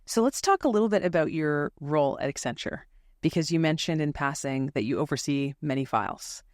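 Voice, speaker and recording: A podcast host asking structured, measured interview questions. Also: medium pitch, lively intonation, dry recording, clean studio sound.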